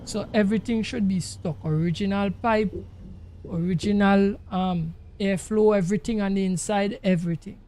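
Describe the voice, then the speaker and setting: A man with a Jamaican accent and a gravelly voice, chatting casually to livestream viewers in an emphatic, repetitive way. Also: steady tone, normal speed, darkish tone. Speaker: game livestreamer. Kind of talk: casual livestream chat while driving a truck sim. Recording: noisy, engine rumble behind voice. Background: truck engine sounds from the game.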